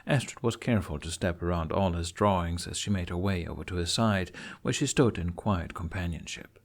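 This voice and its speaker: A man reading scripted fiction aloud expressively as a story narrator. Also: low-pitched. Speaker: podfic narrator.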